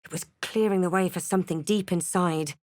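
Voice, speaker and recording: A woman playing a wry, watchful fantasy adventurer hero in a video game, with a measured, dramatic delivery. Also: on the low side, steady tone, a little mellow, no room sound, close to an everyday voice. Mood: measured, grave narration. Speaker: player character in a fantasy role-playing game. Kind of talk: video game voice lines and narration.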